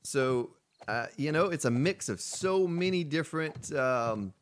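A man's voice: a little high, expressive, unhurried, a little hiss or hum. A man from Florida chatting casually on a live game stream, answering viewers between gameplay remarks. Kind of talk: casual live-stream chat while playing.

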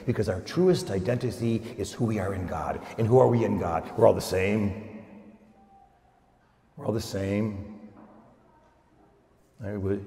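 Older American priest preaching in echoey church. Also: male voice, lowish voice, creaky, mellow. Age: 60s or older. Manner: emphatic, dramatic pauses, rhetorical questions. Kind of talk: homily preached to a congregation. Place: large church, at the lectern. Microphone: gooseneck lectern microphone. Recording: echoey church with long reverb.

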